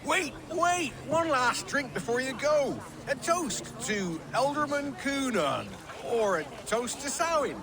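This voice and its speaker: A man's voice: extremely high pitch, sing-song, takes it slowly, hardly any pauses, crowd noise, heavily acted. A man playing a drunken, bitter and boisterous glassmaker in a video game, rambling and theatrical. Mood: drunken merry. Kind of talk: video game character dialogue. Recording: music behind the voice.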